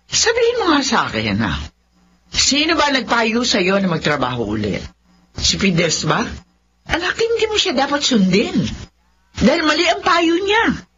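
A woman's voice: high voice, wildly swooping pitch, a little slow, pauses often, fairly bright tone, full character voice. A woman playing an anxious, overprotective mother, insistent and pleading, in Filipino radio drama style. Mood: disapproving. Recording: dull audio with faint noise.

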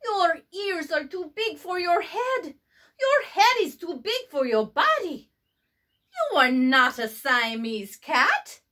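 A squeaky, giddy kitten boy from a storybook read-aloud, as a woman puts him on. His voice sits high and swoops up and down with every excited line. He tosses in playful mock Spanish flair. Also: slow, full character voice.

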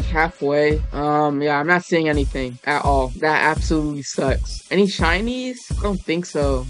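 A raspy young man who just woke up, hosting a casual gaming video and pleading with the game for better luck. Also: fairly high pitch. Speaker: gaming channel host.

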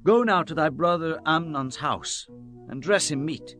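A man's voice: fairly high pitch, unhurried, fairly bright tone, faint music behind. An actor playing an authoritative, fatherly old king in a dramatized audio Bible, with regal, archaic formal speech. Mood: instructing.

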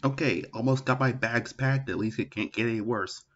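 A man's voice: medium pitch, moderate pace, a bit bright, muffled recording, lightly acted. A man playing a reluctant, offhand president in an improvised comedy parody dub, speaking in a casual, hesitant way. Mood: flustered.

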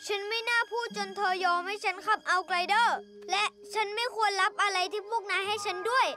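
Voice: very high-pitched, fairly even intonation, brisk. An actor playing an earnest, brave and caring child superhero in a cartoon dub. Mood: apologetic, earnest. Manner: earnest, exclaiming. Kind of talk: animated cartoon dub acting. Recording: loud music behind the voice. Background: music.

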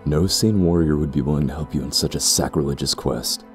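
Man acting very deep, haughty, cold clansman. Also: faint music behind. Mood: threatening. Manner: measured, haughty, formal. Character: cold, condescending, ruthless warrior clansman scolding his sister. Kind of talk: scripted audio roleplay acting.

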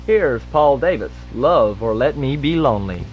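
A man's voice: mid-range voice, lively intonation, normal speed. A man working as a radio DJ, announcing songs, times and station identification live on air. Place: radio studio. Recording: dull lo-fi 1980s radio aircheck tape. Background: music.

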